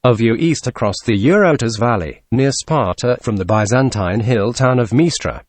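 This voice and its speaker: A man reading exam practice passages aloud in a measured, formal, scripted way. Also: mid-range voice, animated, dry recording. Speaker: test-practice model reader.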